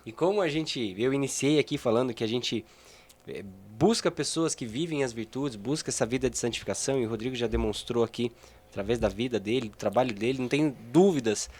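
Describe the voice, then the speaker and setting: A man's voice: mid-range voice, quick pace, darkish tone. Brazilian podcast host guy, earnest and welcoming, ordinary mid voice. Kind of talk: podcast hosting.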